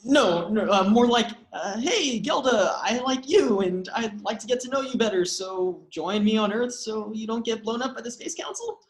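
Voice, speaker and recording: A man's voice: high-pitched, animated, moderate pace, few pauses. A man playing an awkward, upbeat human team leader at a comedy script table read. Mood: awkward, fond. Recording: roomy, echoey sound.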